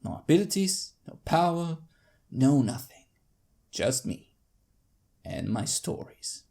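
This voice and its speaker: A narrator reading scripted first-person fiction in character, with deliberate, dramatic phrasing. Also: mid-range voice, sing-song, vocal fry, mellow. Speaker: fanfiction narrator.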